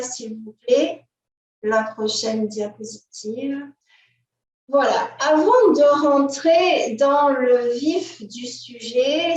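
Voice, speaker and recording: A woman with a fairly high voice talks slowly through a slide presentation of her novel, hesitating often with filler sounds. She sounds distant from the microphone in a strongly echoing room. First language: French. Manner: halting, many filler "euh", directs an assistant to change slides. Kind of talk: slide presentation at a book fair. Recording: roomy echo, distant from the mic.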